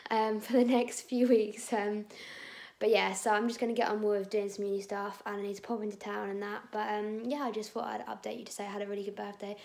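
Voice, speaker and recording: A woman, chatting casually to a phone camera at home in a husky, strained voice, rambling with lots of fillers. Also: mid-range voice, darkish tone. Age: 20s. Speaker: vlogger. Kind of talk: casual vlog chat. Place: indoors at home, bedroom and kitchen. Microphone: phone camera held at arm's length.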